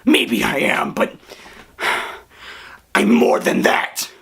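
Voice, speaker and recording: A voice actor playing a hurt, hot-tempered young man with wacky hair, crying and shouting through an outburst. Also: medium pitch, sing-song, slow, vocal fry, slightly rough, exaggerated voice. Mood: resentful. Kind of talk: dramatic voice acting.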